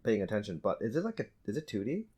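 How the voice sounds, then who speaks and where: A man co-hosting a gaming podcast, talking casually and haltingly, often repeating words as he starts. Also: lowish voice, sing-song, warm tone, breathy edge. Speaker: podcast co-host.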